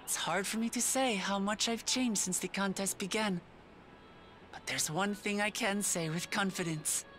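Game role: earnest, warm-hearted young lioness princess voiced by a woman, mid-pitched, clear-cut bright delivery, heartfelt and reflective. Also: normal speed, slight background noise, natural voice. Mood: hopeful, resolute. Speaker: video game character. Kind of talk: voiced game cutscene dialogue.

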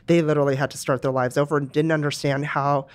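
A woman's voice: sits low, little pitch movement, fast. A middle-aged woman from Romania, answering interview questions in a reflective way with many fillers and restarts. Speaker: guest, music company executive.

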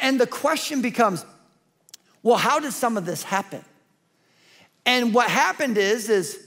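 A man's voice: high-pitched, fairly bright tone. A man in his forties preaching a sermon from a large church stage on a headset mic, emphatic and building through repetition. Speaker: pastor.

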